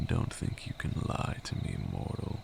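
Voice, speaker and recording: A man playing an ancient, brooding vampire lord in an intimate ASMR roleplay, seductive and menacing, with an archaic, commanding way of talking. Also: noisy background. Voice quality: deep gravelly voice, breathy at times. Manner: archaic, deliberate, teasing and commanding.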